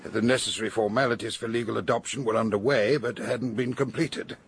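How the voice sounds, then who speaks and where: An actor playing a wealthy, strong-willed older English gentleman in a radio drama, speaking bluntly and formally. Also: mid-range voice, steady tone, fairly quick, a little hiss or hum, lightly acted. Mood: firm, defiant.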